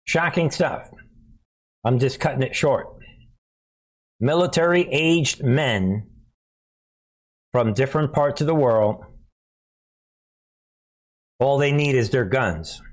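Slow, alarmist male commentator. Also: medium pitch, expressive. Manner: alarmist, preachy, pausing between short phrases; mocking, sarcastic asides. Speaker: host and commentator. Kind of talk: informal opinion commentary addressed to viewers.

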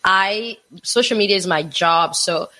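A woman's voice: medium pitch, unhurried, sharp tone, poor audio. A woman from Africa, a chef and influencer, talking frankly as a guest in a casual chat and laughing between points.

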